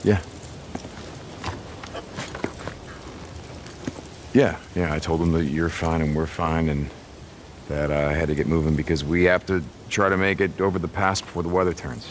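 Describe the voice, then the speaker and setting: A man's voice: very deep voice, steady tone, slight background noise. An actor with an American accent playing a rugged, protective biker in his thirties, hesitant and awkwardly tender, in a video game drama. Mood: resolved, uneasy. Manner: hesitant, halting, with ums and restarts. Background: music behind the voice.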